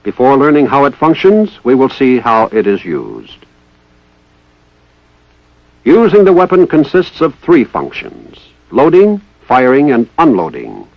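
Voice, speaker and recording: A man's voice: mid-range voice, huge pitch swings, a little slow, creaky, no echo. A man with an American accent reading formal, methodical instructional narration in the style of a 1960s military training film. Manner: formal, precise, methodical enunciation. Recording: dull lo-fi 1960s film soundtrack.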